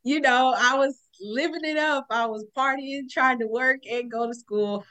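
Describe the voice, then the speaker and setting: A woman from Houston, Texas, a podcast guest calling in remotely, telling a personal story in an animated way and acting out what people said. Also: high voice, moderate pace. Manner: animated storyteller, acts out quotes.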